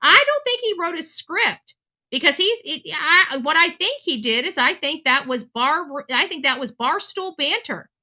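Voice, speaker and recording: A woman's voice: high voice, expressive, a little slow, pushed and bright. A woman giving unscripted, opinionated true crime commentary in an emphatic way, repeating phrases for stress.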